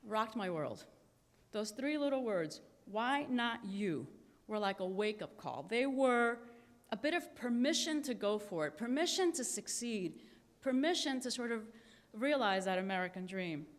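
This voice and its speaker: A woman's voice: on the high side, animated. Woman speaking in a reverberant hall. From Cuba. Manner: earnest, emphatic, slows to stress key phrases. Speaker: keynote speaker. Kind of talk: prepared speech at a conference. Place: large conference hall. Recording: echoey hall with long reverb.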